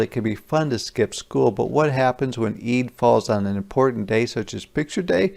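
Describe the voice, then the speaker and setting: A man teacher reading a children's picture book aloud, adding simple, deliberate explanations for young listeners. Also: medium pitch, gravelly, a little mellow. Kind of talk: interactive children's read-aloud with explanatory asides.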